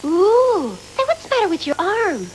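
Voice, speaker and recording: A woman's voice: very high-pitched, wildly swooping pitch, measured pace, slight background noise, exaggerated voice. A woman voicing an eager, curious young cyborg girl in an English anime dub, from an old tape. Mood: curious, friendly.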